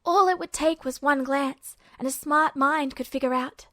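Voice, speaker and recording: A woman's voice: high voice, normal speed, a bit bright, dry recording, studio quality. A woman reading scripted fiction aloud as a narrator. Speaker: audiobook-style narrator of fan fiction.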